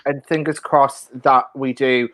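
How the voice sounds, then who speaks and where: A man's voice: mid-range voice, level tone, unhurried, sharp tone. A man hosting a casual panel interview, putting questions in a hesitant, stop-start way. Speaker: host.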